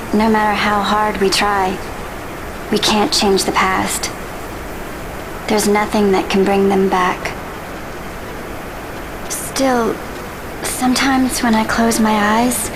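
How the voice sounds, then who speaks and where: An actress voicing a gentle, wistful young woman adventurer in a video game, speaking softly and reflectively. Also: medium pitch, fairly even intonation, takes it slowly, pauses often, close to an everyday voice. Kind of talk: dramatic video game voice acting. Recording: clear noisy ambience behind voice.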